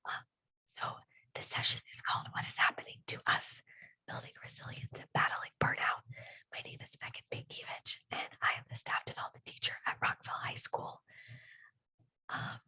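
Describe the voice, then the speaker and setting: A woman's voice: normal speed, sharp tone, no room sound, poor audio. A woman who has lost her voice, whispering breathily close to a microphone as a teacher presenting a conference session, chatty and self-deprecating. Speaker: staff development teacher presenting.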